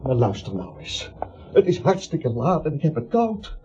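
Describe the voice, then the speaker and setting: A fussy, high-voiced nervous little man. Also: very animated, normal speed, warm tone, slight background noise. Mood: anxious, grumbling. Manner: querulous, protesting, flustered. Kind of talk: Dutch radio play acting. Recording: muffled, dull old radio-play recording.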